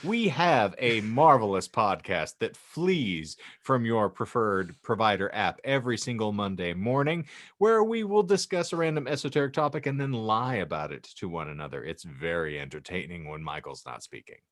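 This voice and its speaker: A man's voice: fairly low pitch, animated, moderate pace, a bit bright. A man hosting a comedy podcast with wordy, mock-grandiose banter and crude jokes. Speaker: podcast host.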